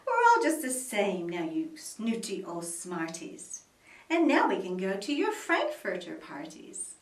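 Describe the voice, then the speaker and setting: A woman voicing a crowd of boastful bird-like storybook creatures, loud and shouting. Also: medium pitch, wildly swooping pitch, measured pace. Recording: roomy echo, mic at a distance, faint music.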